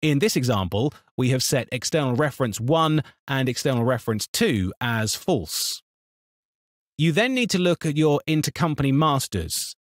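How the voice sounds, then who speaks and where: A man narrating a scripted software tutorial in an instructional, step-by-step manner. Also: mid-range voice, sing-song, normal speed, no room sound, clean studio sound.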